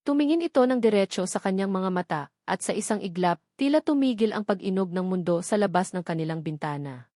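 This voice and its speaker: A woman's voice: medium pitch, expressive, a little fast, dark tone, no echo. Filipino woman narrating romance, close and dry. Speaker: story narrator. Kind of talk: dramatic scripted romance narration.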